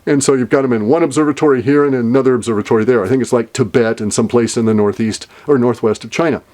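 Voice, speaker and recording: An older man talks on his own, thinking out loud at an average pitch. He wanders between ideas, trails off and starts his sentences over. He fills the pauses with ums and uhs. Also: fairly even intonation. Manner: rambling, speculative, many fillers and restarts. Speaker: podcast host. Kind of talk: casual solo monologue.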